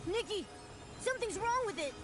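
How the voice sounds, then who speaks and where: A woman voicing a small, excitable, protective creature companion in a fantasy game, squeaky and urgent. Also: normal speed. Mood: alarmed, urgent. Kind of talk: scripted fantasy game cutscene acting.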